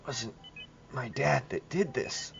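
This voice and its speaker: A man playing a traumatized young boy who speaks haltingly in an audio horror drama. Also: mid-range voice, vocal fry, muffled recording, a little hiss or hum, voice pushed up. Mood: shaken, frightened. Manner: halting, stammering. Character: traumatized, weak young boy, frightened and bewildered.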